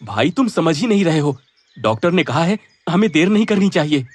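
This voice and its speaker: A man's voice: a little high, very animated, fairly quick, darkish tone. A man as an earnest, caring young stepson. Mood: urgent. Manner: earnest, concerned. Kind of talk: Hindi moral-story cartoon dubbing.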